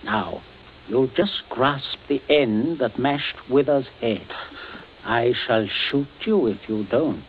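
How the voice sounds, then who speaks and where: A man's voice: mid-range voice, lively intonation, slow. An actor playing a genteel, menacing middle-aged father in a radio drama, giving polite, clipped orders with false joviality. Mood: coolly commanding. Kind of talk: old-time radio drama acting. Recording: tinny band-limited old radio, faint noise.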